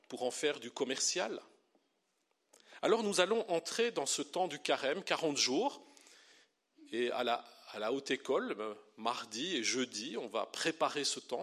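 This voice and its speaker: A bright, clear male voice of a middle-aged pastor from Belgium delivering a sermon. He preaches with lively emphasis, slowing down and leaning on key phrases. His pitch sits in a steady middle range. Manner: lively preaching, slows and stresses key words. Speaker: pastor and theology professor.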